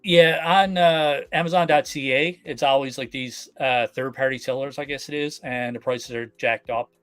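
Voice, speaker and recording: A man from Canada chatting casually on a live stream, relaxed and full of fillers. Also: mid-range voice, normal speed, smooth, no echo, faint music behind. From Canada, Newfoundland. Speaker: guest collector.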